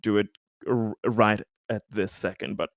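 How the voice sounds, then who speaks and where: A man with a fairly low voice, recorded close and dry. He speaks slowly and haltingly, often repeating words as he improvises and discusses a roleplaying game. His phrasing is careful and diplomatic. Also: level tone, no room sound. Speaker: role-playing game player. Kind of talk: improvised in-character talk and table discussion.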